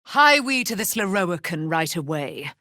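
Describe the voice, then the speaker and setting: An actress playing a proud, wrathful immortal warrior, daughter of a moon goddess, declaiming in grand archaic speech for a fantasy game. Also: female voice, lowish voice, sing-song, measured pace, pushed and bright, dry recording, studio quality, full character voice. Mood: solemn, grave. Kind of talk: theatrical fantasy game voice acting.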